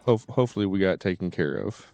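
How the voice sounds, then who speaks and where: A man live streaming and chatting casually to viewers, halting and often repeating his phrases. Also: deep voice, vocal fry, mellow, faint music behind.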